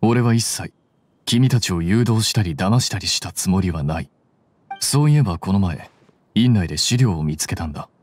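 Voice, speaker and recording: Aloof, low-voiced young scholar. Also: male voice, animated. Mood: cool, detached. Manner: detached, blunt, measured. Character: cool, aloof young male scholar, bluntly sardonic. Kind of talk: anime game voice acting. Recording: soft music behind.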